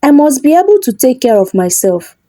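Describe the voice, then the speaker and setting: A woman's voice: sits high, very animated, a little fast, mellow, dry recording, clean studio sound. A woman voicing a determined, hopeful, earnest orphan village girl in a folktale. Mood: resolute.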